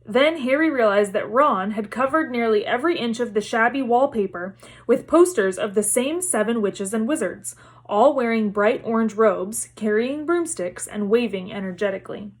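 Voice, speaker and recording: Female storybook narrator, read-aloud delivery, voice set a little high. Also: expressive, normal speed.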